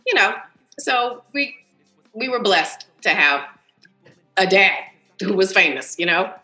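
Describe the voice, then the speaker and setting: A woman's voice: mid-range voice, faint music behind. An American woman in her early fifties chatting casually and animatedly on a video call, telling family anecdotes as a talkative podcast guest. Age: early 50s. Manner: animated, talkative, quick to laugh. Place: indoors, on a Zoom video call. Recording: roomy, echoey video call.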